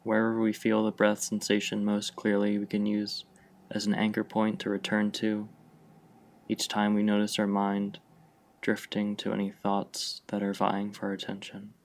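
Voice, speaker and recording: A man leading a guided meditation, giving gentle, unhurried instructions with long pauses between phrases. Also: fairly low pitch, completely flat delivery, vocal fry. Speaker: meditation guide, mindfulness fellow. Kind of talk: guided meditation, slow and spacious.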